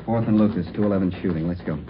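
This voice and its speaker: An actor playing a curt, authoritative police captain in a 1950s radio drama. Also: male voice, low voice, rapid-fire, lightly acted. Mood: urgent. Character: brisk, commanding male police captain. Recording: noisy, tinny old radio recording.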